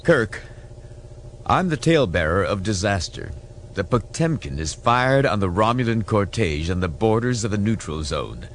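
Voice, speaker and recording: An alarmed space admiral, as a male narrator plays him, grave and formal. The voice is pushed higher and moves a lot, urgent in a science fiction audiobook, with faint noise behind it. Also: very animated, moderate pace.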